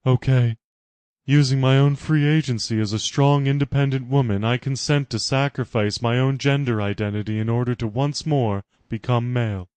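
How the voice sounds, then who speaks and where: A man's voice: mid-range voice, fairly even intonation, normal speed, darkish tone, smooth, dry recording, pitched above the performer's own voice, close to an everyday voice. A man voicing an indignant, earnest queen, a strong woman, with a formal, declamatory manner in a parody story. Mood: solemn, resolved.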